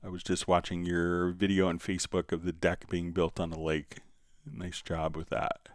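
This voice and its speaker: A man hosting a live stream, talking viewers through software in a casual, conversational, explanatory way. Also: low voice, monotone, moderate pace, dry recording, clean studio sound. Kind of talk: casual live tutorial and chat.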